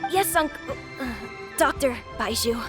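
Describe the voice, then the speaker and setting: A woman's voice: sits high, lively intonation, measured pace, music in the background. A woman voicing a polite, earnest young village boy in a video game, with a childlike manner. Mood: curious, polite.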